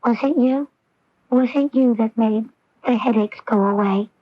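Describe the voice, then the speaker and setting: High-voiced, intense young daughter. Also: female voice, a little slow, dry recording. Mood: wondering, intense. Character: earnest, intense young daughter prone to headaches. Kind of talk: 1980s radio drama acting. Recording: dull, dry AM radio recording.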